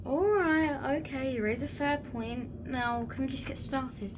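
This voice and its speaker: A woman's voice: high-pitched, measured pace, a little hiss or hum. A woman playing a sarcastic, sceptical young video maker in an audio drama, dry and questioning, uneasy but bold. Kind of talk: naturalistic audio drama acting.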